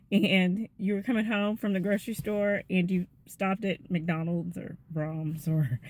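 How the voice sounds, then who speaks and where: A woman, giving a gentle, chatty devotional talk to her viewers. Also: medium pitch. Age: 50s.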